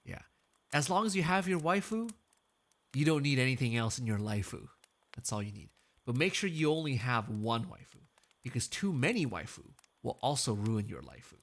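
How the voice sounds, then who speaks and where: A male streamer with a gravelly edge to his voice, chatting in a relaxed, joking way between songs. His pitch swings widely as he teases his chat and reads comments aloud. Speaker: VTuber streamer.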